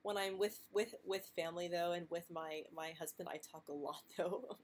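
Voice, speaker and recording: Soft-spoken young woman who stutters. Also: fairly low pitch, steady tone, moderate pace. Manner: stutters with frequent sound and word repetitions; soft-spoken, laughs lightly between answers. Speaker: vlogger answering viewer questions live. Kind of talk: live stream Q&A, casual chat.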